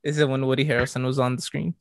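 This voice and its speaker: A young man co-hosting a podcast, teasing his friend with quick comebacks in casual chat. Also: mid-range voice, little pitch movement, gravelly, slight background noise. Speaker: podcast co-host.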